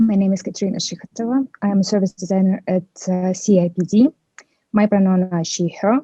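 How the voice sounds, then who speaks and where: A woman's voice: mid-range voice, dark tone, dry recording, highs cut off. A woman moderating a panel on a video call, carefully and formally reading out the speakers' introductions.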